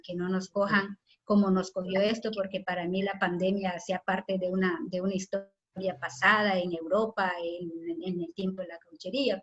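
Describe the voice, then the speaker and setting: A woman's voice: medium pitch, slight background noise. A woman from the Amazon, explaining her views spontaneously as a webinar panelist. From Colombian Amazon. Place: online video webinar. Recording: dull lo-fi online call.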